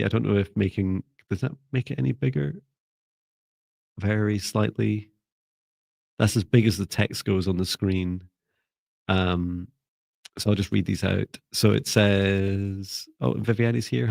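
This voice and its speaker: A man teaching a live online spiritual class, speaking in a calm, gentle, soft-spoken way and pausing often to restart his sentences. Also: on the low side, gravelly, no echo. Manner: hesitant, with repeated restarts. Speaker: spiritual mentor. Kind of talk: live online class, reading viewer comments.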